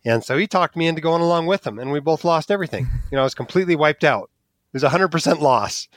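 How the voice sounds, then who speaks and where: A man's voice: fairly high pitch, expressive, fast, a bit bright, dry recording. A man from Alaska, a physician and blogger, telling his story candidly as a podcast guest, chuckling at himself now and then. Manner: candid, self-deprecating, chuckles while talking. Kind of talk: casual interview storytelling.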